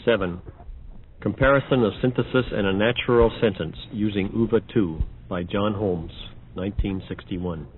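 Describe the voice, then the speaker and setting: A man's voice: lowish voice, moderate pace. A man narrating an audio demonstration, reading scripted announcements. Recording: dull, noisy old record transfer.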